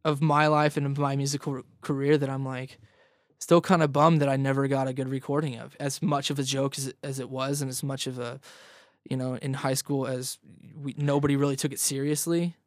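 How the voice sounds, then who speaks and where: A man's voice: medium pitch, flat delivery, a little fast, no echo, clean studio sound. A young man in casual interview chat as a guest musician, rambling, repeating words and laughing often.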